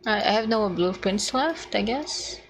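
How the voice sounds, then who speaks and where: A woman's voice: medium pitch, lively intonation, a little slow, low-quality recording. A woman livestreaming a video game, chatting casually and muttering to herself in short fragments as she thinks aloud. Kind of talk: casual gaming stream chat, thinking aloud.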